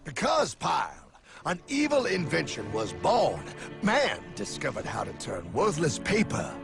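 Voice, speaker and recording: A man's voice: fairly high pitch, huge pitch swings, unhurried, creaky, heavily acted. A man with an American accent voicing a brash, theatrical, streetwise cartoon mentor who preaches and talks cockily. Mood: grave, dramatic. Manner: dramatic, cocky, preachy, calls listener pal. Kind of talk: animated explainer cartoon acting. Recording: music bed behind the voice.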